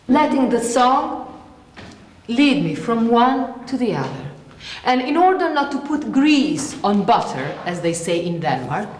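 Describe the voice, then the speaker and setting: An Italian actress explains her craft in English, speaking slowly and with small slips of grammar. Her voice sits a little high, and it rings through a large theatre with a long, echoing tail. A faint ambient hum lies underneath. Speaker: actress and performer. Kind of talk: lecture-demonstration. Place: theatre stage.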